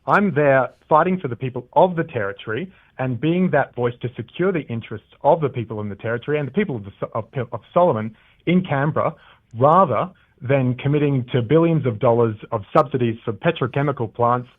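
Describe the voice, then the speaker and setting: A man's voice: medium pitch, animated, a little fast. A man, an election candidate, answering interview questions on the radio, stumbling and reading prepared talking points. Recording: tinny phone line.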